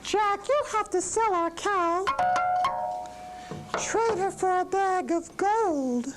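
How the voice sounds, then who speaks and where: A very high-pitched, exasperated mother from a children's storybook, acted out by a woman reader. She is poor and scolding, and music plays prominently behind her. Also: slow. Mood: weary, commanding.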